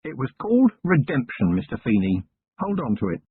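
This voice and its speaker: A man voicing a weary, gruff middle-aged police commander with a dry, sardonic manner in an audiobook. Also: medium pitch, very animated, fairly quick, dry recording. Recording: tinny lo-fi narration recording.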